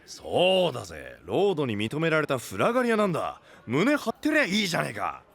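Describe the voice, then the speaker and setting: A man playing a blunt, big-hearted young knight with rough, punchy speech in an anime voice drama. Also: fairly high pitch, huge pitch swings, brisk, a bit bright, exaggerated voice. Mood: blunt, encouraging. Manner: rough, brash, punchy delivery.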